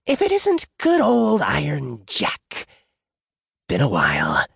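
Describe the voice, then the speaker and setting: A man voicing a pompous, needy, menacing jackal trickster in an audiobook, theatrical and drawn-out, with a raspy, gravelly voice. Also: on the low side, wildly swooping pitch, exaggerated voice. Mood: sneering. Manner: theatrical, drawn-out grandiose threats, sudden petulant outbursts. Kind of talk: audiobook character voice.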